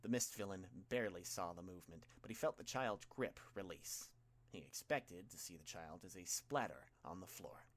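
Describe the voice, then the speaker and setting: A man's voice: deep voice, lively intonation, moderate pace. A man reading fan fiction as an audiobook narrator, in a dramatic scripted style.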